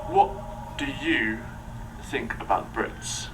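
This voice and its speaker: A man interviewing people, asking questions in a clear presenter manner. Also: medium pitch, huge pitch swings, slow pace, sharp tone, slight background noise, low-quality recording. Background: music.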